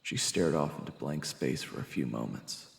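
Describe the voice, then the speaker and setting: A man's voice: moderate pace, roomy sound, long reverb tail, exaggerated voice. A man playing a grave, wary first-person narrator in a fantasy audio drama. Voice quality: deep, gravelly. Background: music.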